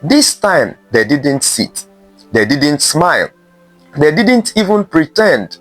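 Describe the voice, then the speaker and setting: A man narrating a scripted folktale in a measured way, with dramatic pauses between short phrases. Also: on the high side, sing-song, fairly bright tone, dry recording, soft background music. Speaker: storyteller.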